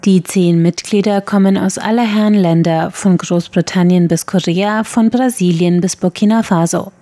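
A woman narrating a scripted radio feature in a measured, clear read. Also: medium pitch, steady tone, warm tone, dry recording, studio quality. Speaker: radio reporter.

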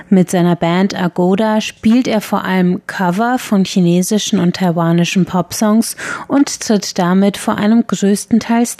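A woman narrating a scripted radio feature in a measured, clear read. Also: medium pitch, mellow, no room sound. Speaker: radio reporter.

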